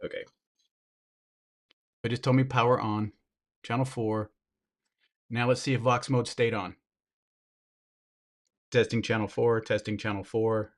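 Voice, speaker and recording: A man's voice: mid-range voice, flat delivery, unhurried, long pauses. A man giving a casual product review and demonstration, talking informally to viewers as he handles the gear.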